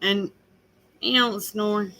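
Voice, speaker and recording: A woman's voice: mid-range voice, fairly even intonation, takes it slowly, sharp tone. An older woman, rambling in a folksy, casual chat with her viewers. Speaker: vlogger.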